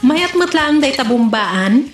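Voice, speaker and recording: A woman's voice: sits high, animated, slow pace, echoey room, music in the background. Woman playing a teasing Ilocano neighbor. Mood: chatty. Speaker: actor in a comedy skit. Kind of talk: comedy skit acting.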